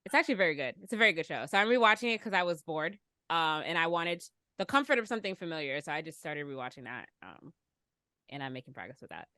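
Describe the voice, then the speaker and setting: Lively, bright-voiced woman. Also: low-pitched, quick pace, no room sound, studio quality. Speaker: podcast co-host. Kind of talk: casual chat.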